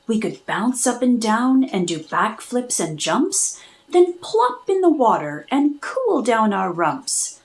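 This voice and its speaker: A woman's voice: on the high side, big pitch swings, takes it slowly, exaggerated voice. A woman voicing a friendly, easygoing male toad in a children's storybook. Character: friendly male toad offering bouncy companionship.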